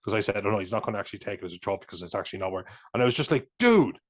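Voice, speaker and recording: A man, co-hosting a casual film chat, rambling with restarts and sudden animated asides. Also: lowish voice, racing. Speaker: podcast co-host.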